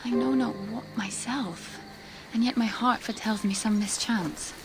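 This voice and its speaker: A woman's voice: on the high side, steady tone, noisy background, lightly acted. An actress playing a passionate, anxious young noblewoman in love, speaking poetic verse in a classical radio drama. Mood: uneasy, tender. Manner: poetic, deliberate verse delivery.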